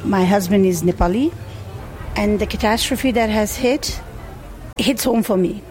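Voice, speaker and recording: A woman's voice: mid-range voice, a little slow, darkish tone, faint music behind, rough recording. A woman who grew up in India, a restaurant owner, speaking earnestly in an interview with small hesitations.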